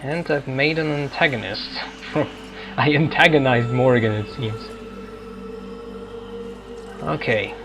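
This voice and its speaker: A man's voice: medium pitch, slow pace, pauses often, music in the background. A man giving casual let's play commentary, musing and hesitantly thinking aloud. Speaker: gaming video host.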